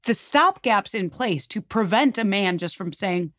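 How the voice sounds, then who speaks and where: A woman's voice: medium pitch, animated, unhurried, a bit bright, no room sound, clean studio sound. A woman guest talking at length in an emphatic, rambling, conversational way, as if telling a story from history. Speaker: podcast guest.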